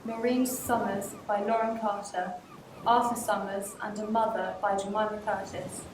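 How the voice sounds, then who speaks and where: A woman's voice: mid-range voice, flat delivery, moderate pace, roomy sound, background noise. A teenage girl reading the credits of a school radio play. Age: teens. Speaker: credits reader.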